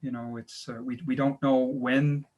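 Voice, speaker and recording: A middle-aged man from Canada, hosting an online travel presentation, talking haltingly with many fillers and restarts. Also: medium pitch, flat delivery, darkish tone, dull audio. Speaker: travel agency owner and webinar host.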